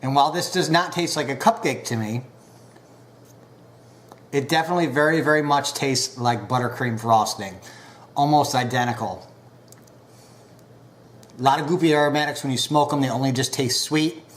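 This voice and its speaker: Unhurried man with a stuffy nose. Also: mid-range voice, a bit bright. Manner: unhurried, many filler pauses. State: stuffed up from a cold. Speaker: pipe tobacco reviewer. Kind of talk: casual review to camera.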